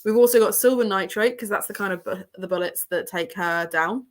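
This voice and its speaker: A woman's voice: medium pitch, a little fast. A woman with an English accent hosting a casual book livestream, chatty and rambling with a sore throat, talking from a room at her parents' home. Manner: chatty and rambling, with frequent laughs. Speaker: book channel host.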